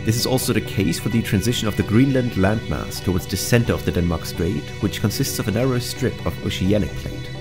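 A man reading scripted documentary-style narration for an educational explainer, in a measured, explanatory manner. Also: lowish voice. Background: background music.

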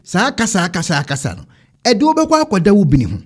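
A man's voice: sits high, wildly swooping pitch, a little fast, slightly rough. A man in his thirties with a Ghanaian accent giving emphatic, opinionated reaction commentary, repeating phrases to drive them home. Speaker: online commentary show host. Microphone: studio microphone close in front.

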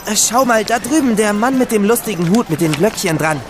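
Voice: mid-range voice, animated, brisk, over music, natural voice. A performer playing an eager boy who loves knights and proudly shows off what he knows, in a children's audio drama. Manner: enthusiastic, showing off what he knows.

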